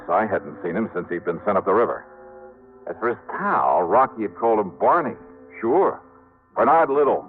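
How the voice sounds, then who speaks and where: Low-voiced hard-boiled radio detective. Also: male voice. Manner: wry, clipped, confiding. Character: hard-boiled male insurance investigator narrating his own case. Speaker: narrator and lead actor. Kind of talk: 1950s radio detective narration. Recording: muffled old radio recording, music behind.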